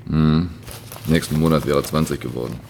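A man playing a laconic forensic pathologist in a radio crime drama, with a deep gravelly rumble and a terse manner. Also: steady tone. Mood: matter-of-fact. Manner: terse, slow to commit, matter-of-fact.

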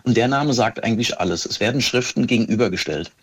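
A man, answering interview questions as an expert in a measured, matter-of-fact way with careful phrasing. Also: medium pitch, lively intonation, slightly rough. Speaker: police handwriting expert, interview guest.

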